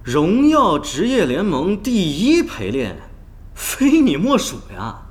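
A man's voice: high-pitched, huge pitch swings, slow, full character voice. A man playing an arrogant young esports star in an audio drama, drawling with theatrical, taunting mockery. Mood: mocking. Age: young adult. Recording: background music under the voice.

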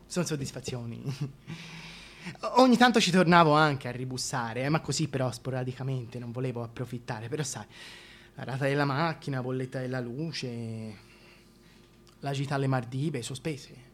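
A man's voice: medium pitch, moderate pace, close to an everyday voice. A man playing a scheming, chatty single mother in a comic radio monologue, with a Tuscan accent and colloquial delivery. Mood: smug, breezy. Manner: gossipy colloquial storytelling. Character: chatty, scheming single mother, a shameless working-class opportunist.

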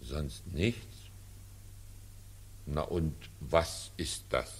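An actor playing a pompous, evasive cabaret director in a 1940s radio drama, talking in a condescending, theatrical, patronizing way. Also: male voice, very deep voice, extremely slow, slightly rough, full character voice.

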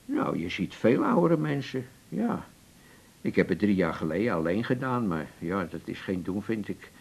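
An actor playing a jovial older man in a Dutch radio drama, folksy and persuasive, with a gravelly, husky voice. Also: lowish voice, big pitch swings, normal speed, a little mellow, full character voice. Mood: enthusiastic, persuasive. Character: jovial, coaxing older man courting a companion.

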